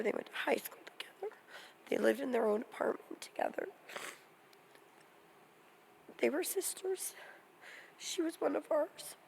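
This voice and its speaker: A middle-aged woman giving a halting, tearful remembrance speech as a mother at a memorial service, speaking into a microphone. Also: high-pitched, vocal fry, darkish tone, slight background noise, poor audio.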